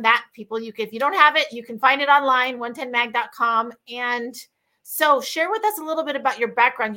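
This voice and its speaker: A woman's voice: a little high, pushed and bright. A woman hosting a casual interview, enthusiastic and chatty, with many fillers and restarts. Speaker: host.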